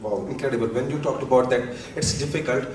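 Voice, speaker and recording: A man's voice: mid-range voice, fast, a little mellow. A man moderating a panel, speaking enthusiastically and laughing as he talks. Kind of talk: panel discussion. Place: large conference hall stage, seated panel. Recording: echoey hall, distant mic, faint noise.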